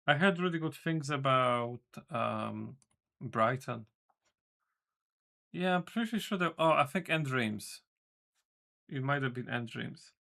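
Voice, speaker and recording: A man's voice: mid-range voice, expressive, takes it slowly, creaky, pauses often, bright, forceful tone. A man streaming as a game developer, chatting casually with his viewers in a rambling way, correcting himself and swearing offhandedly. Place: home room.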